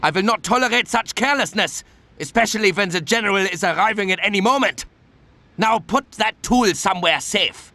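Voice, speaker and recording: High-pitched, furious German camp commandant. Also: male voice, brisk. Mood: irate. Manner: imperious, clipped, scolding. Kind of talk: video game cutscene acting. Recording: loud music under the voice.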